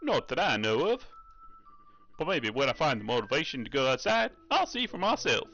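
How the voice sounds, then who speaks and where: A man's voice: mid-range voice, sing-song, normal speed, gravelly, sharp tone, highs cut off, soft background music, full character voice. A man voicing a laid-back, teasing cowboy ghost with a Southern US accent and a drawling, folksy way of talking. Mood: laid-back, candid.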